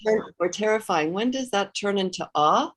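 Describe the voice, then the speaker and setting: A woman's voice: medium pitch, expressive, normal speed. A woman who grew up speaking Hebrew, asking a question casually on a video call, tentative and searching for words. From Israeli background. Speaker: class participant asking a question. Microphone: video call, camera off.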